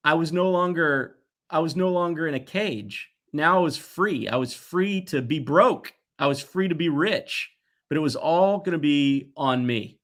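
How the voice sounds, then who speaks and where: A man in his forties speaks at a middle pitch in a conversational interview style. He is earnest and reflective, and he leans on key words with pauses for emphasis. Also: fairly bright tone. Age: 40s. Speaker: guest, toy company executive.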